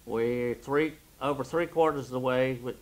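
Halting older missionary giving an update. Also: male voice, medium pitch. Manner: halting, many "uh" fillers. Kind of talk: project update. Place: studio set with wooden wall backdrop.

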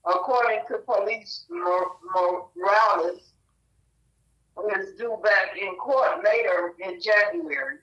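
A woman co-host reading a news report aloud, halting and stumbling over names, on a muffled line. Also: mid-range voice, a bit bright.

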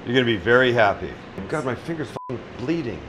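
A man's voice: medium pitch, big pitch swings, quick pace, a bit bright, a little hiss or hum. A middle-aged man hosting a casual cooking demonstration in a small restaurant kitchen, joking bluntly and swearing freely. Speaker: chef and cooking show host.

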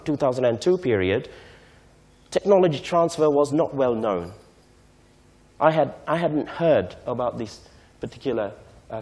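A man's voice: mid-range voice, a little mellow. A man in his thirties, originally from Sri Lanka, giving a conference lecture at a podium mic in a large hall, stressing key words and often asking "right?". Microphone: podium microphone.

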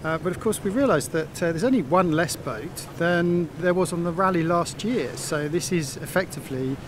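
A middle-aged man chats in a rambling, easygoing way, with plenty of fillers, while filming himself at arm's length on the deck of a sailboat. His voice sits a little high for a man. Wind and sea noise come through clearly around him. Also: normal speed. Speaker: sailing vlogger. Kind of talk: casual vlog narration. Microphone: phone held at arm's length. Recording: wind and water noise outdoors. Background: wind, waves and boat noise.

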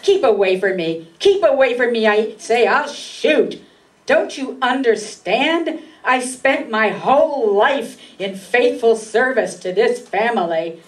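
A woman's voice: fairly high pitch, expressive, takes it slowly. A woman playing a despairing aging family servant in old-time radio drama style, melodramatic and pleading. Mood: threatening. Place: live stage reading in a meeting room. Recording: distant mic in a meeting hall.

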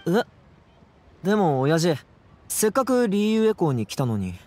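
Boyish, expressive teenage anime son. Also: male voice, high voice, measured pace. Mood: warm, relieved. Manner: casual boyish speech, stammers when flustered. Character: earnest, bashful teenage son, boyish and rough-spoken. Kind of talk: anime video game voice acting.

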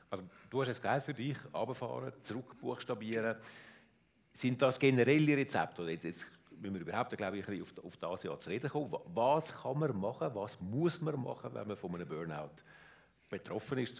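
A man's voice: on the low side, expressive, fairly quick, creaky. A middle-aged or older man with a Swiss German accent, putting questions as an interviewer on stage.

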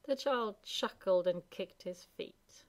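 A woman narrating a children's story as a scripted, expressive reading. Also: medium pitch, takes it slowly. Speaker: storybook reader.

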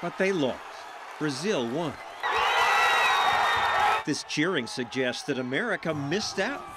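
Male voice-over, news narration, wry tone, lively pitch, studio mic. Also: slow pace, over a noisy crowd, heavy background noise.

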